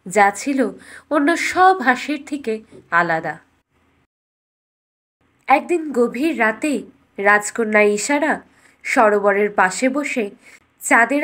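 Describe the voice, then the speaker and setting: High-pitched woman storyteller. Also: a bit bright. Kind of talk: scripted fairytale narration.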